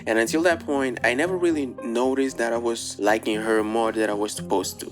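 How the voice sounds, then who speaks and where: A man's voice: medium pitch, fairly even intonation, a little fast. A young man with a Portuguese accent, a native Portuguese speaker, casually telling a personal story as a YouTuber, candid and a little profane. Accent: Portuguese-accented English. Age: young adult. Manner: casual, candid, swears offhandedly. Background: faint guitar music.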